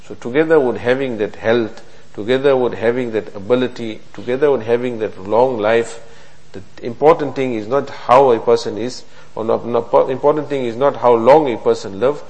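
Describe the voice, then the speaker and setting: A man giving a hadith lesson in an earnest, repetitive preaching manner, asking rhetorical questions and reciting Arabic quickly. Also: medium pitch, darkish tone, poor audio. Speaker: religious teacher.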